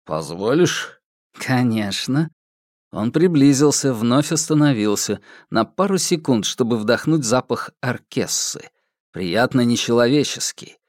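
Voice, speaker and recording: Male audiobook reader for fiction, middle register, varied expressive melody, dry recording. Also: medium pitch, unhurried, dry recording. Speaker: audiobook narrator. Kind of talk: scripted fiction narration.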